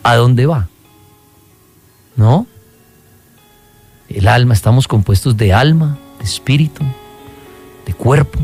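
A man's voice: mid-range voice, expressive, slow, pauses often, darkish tone, slightly rough, faint music behind. A man hosting a conversational radio interview, speaking colloquially and often tossing in short questions.

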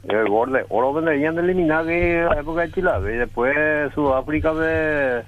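A man, rambling about football on a radio show in a halting, slangy way. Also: on the high side, narrow, tinny audio. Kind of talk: casual football talk on radio.

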